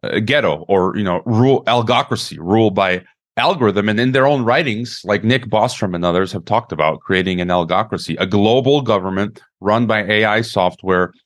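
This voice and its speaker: A man's voice: fairly low pitch, moderate pace. A man hosting an interview who stammers and repeats words as he gathers his thoughts. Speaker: interview host. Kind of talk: conversational interview.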